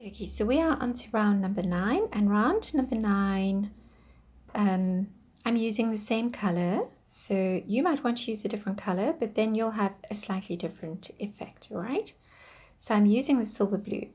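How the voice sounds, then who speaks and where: A woman teaching a crochet pattern step by step, counting stitches aloud, patient and soft, her voice a little worn. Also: mid-range voice, normal speed. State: losing her voice, clearing throat. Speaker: crochet instructor. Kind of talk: step-by-step craft tutorial.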